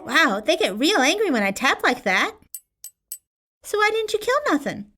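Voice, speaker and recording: A woman playing a bouncy, cute little sister with an excitable childish manner in an audio drama. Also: high-pitched, normal speed, heavily acted. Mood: excited.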